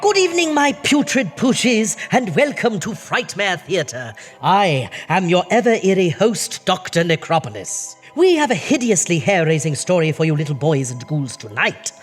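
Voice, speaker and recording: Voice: mid-range voice, very animated, normal speed, hardly any pauses, faint music behind, close to an everyday voice. An actor playing an eerie, campy horror show host, theatrical and full of puns and alliteration. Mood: spooky, gleeful. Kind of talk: campy horror anthology hosting.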